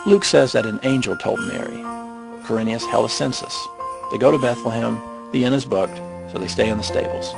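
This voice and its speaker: A man delivering casual, irreverent scripted commentary in a sarcastic, mocking way, with a gravelly, husky voice. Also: mid-range voice, no echo. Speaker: narrator of a skeptical commentary on the Bible.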